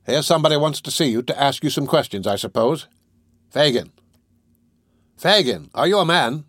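A brusque prison jailer , acted by a male audiobook reader. He sounds curt, loud and matter-of-fact. The recording is dry and close. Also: on the high side, animated, normal speed, no room sound, pitched above the performer's own voice. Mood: brisk, gruff. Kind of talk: dramatic audiobook character reading.

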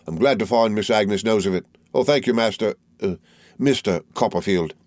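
A man's voice: lowish voice, moderate pace, a little mellow, dry recording, studio quality, natural voice. A man reading aloud and voicing a fawning, falsely humble young male clerk with a wheedling, obsequious manner.

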